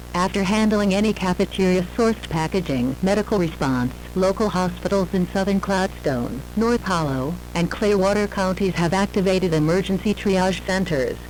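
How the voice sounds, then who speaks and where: An emergency alert announcer reading a scripted warning bulletin in a formal, measured manner. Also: mid-range voice, darkish tone, a little hiss or hum, poor audio.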